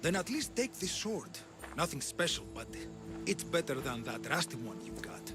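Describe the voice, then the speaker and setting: A man's voice: fairly high pitch, very animated, moderate pace, over music. An actor playing an anxious but stubborn male merchant in a video game, pleading and defensive. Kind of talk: cinematic game cutscene dialogue.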